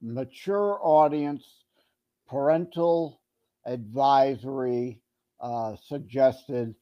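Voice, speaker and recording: A man co-hosting a casual podcast, joking with wry, deadpan asides. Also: medium pitch, very slow, dry recording, dull audio. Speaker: podcast co-host.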